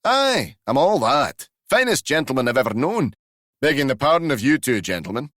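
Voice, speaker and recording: A man voicing a wizened old transporter driver with a Glasgow accent, loud and indignant. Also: mid-range voice, big pitch swings, normal speed, dry recording, clean studio sound, voice pushed up. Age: older.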